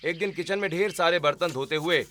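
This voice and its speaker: A man's voice: on the high side, brisk, a bit bright, slight background noise. A man narrating a scripted cartoon family story.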